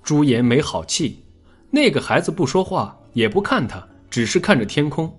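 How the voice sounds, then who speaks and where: A man narrating a dramatic scripted story in a measured, expressive storytelling manner. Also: a little high, breathy edge. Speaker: audiobook narrator.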